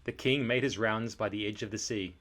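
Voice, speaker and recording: Expressive man reading a children's storybook. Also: on the low side, brisk. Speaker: storybook narrator. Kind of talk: expressive scripted read-aloud narration for children.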